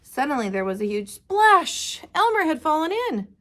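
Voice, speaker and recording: A woman teacher reading a picture book aloud to children in an expressive storytelling style. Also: high-pitched, moderate pace. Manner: expressive, addresses young listeners. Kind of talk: animated read-aloud narration of a picture book.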